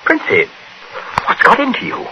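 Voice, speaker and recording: A man acting in a 1940s radio drama as a flustered army captain, a nervous gentleman who stammers and blusters. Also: normal speed, close to an everyday voice. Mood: startled. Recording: noisy old radio recording.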